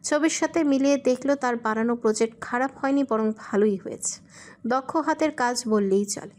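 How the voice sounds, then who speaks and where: A woman's voice: on the high side, normal speed, a little mellow. A woman reading scripted narration for a romantic audio story.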